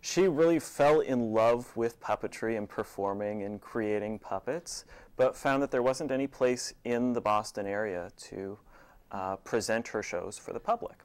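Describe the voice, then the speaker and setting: A man's voice: fairly low pitch, moderate pace, darkish tone, clear, resonant tone. An American man speaking as a theater director guest, explaining things in a studio interview.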